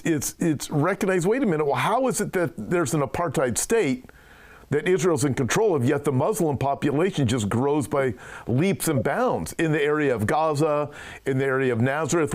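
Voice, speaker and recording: A man, a pastor hosting a show, asking long earnest questions full of fillers. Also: on the high side. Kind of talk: interview conversation.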